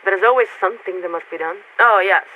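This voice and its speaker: A woman playing a polite, guarded young warship radio signaler in a video game, speaking in formal, measured official diction through a radio filter. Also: mid-range voice, pushed and bright. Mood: composed, earnest. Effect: radio transmission filter. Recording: tinny narrowband radio with static noise.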